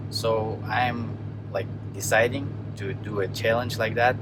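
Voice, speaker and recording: Russian-accented man, halting, loud truck noise. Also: on the low side, steady tone. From Kazakhstan. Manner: halting, searching for words in a second language. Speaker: truck driver vlogger. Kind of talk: casual vlog narration. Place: at a truck yard and in a truck. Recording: loud vehicle and outdoor noise.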